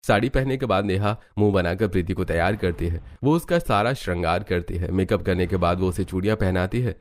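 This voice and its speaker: A man's voice: low-pitched, a little fast. A man narrating a scripted moral story as its storyteller.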